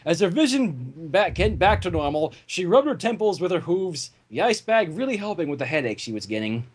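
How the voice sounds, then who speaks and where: Woman reading a fanfic out loud, lively pitch, bit husky, informal. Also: a little fast. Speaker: fan fiction reader and narrator. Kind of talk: dramatic story reading.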